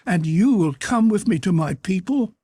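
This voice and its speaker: A man's voice: high-pitched, lively intonation, normal speed, mellow, husky, no room sound, studio quality, pitched above the performer's own voice. A man voicing an ardent, tender young native woman in an audiobook, speaking in an exclamatory, pleading way. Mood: eager, hopeful. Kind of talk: audiobook character voice.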